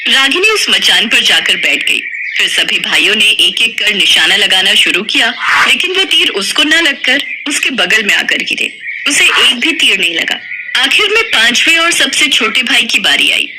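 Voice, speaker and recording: Female folk-tale narrator, animated intonation, audibly noisy recording. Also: a little high, normal speed. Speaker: storyteller.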